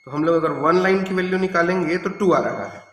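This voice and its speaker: A man's voice: high voice, brisk, fairly bright tone. A man giving a step-by-step lab demonstration as a physics teacher, instructing and pointing things out as he goes. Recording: echoey room, noisy with hum.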